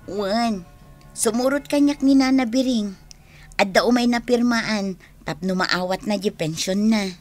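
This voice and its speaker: A woman's voice: medium pitch, measured pace, faint music behind, close to an everyday voice. A woman playing a kindly, reassuring young social worker in a radio drama. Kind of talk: radio drama acting in Ilocano.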